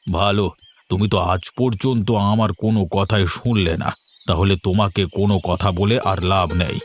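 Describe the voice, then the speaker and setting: A man's voice: fairly low pitch, fairly even intonation, moderate pace, soft background music, lightly acted. A man playing a grumbling, stingy village farmer husband in a cartoon. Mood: sulky. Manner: grumbling, dismissive. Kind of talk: Bengali fairy-tale cartoon dialogue.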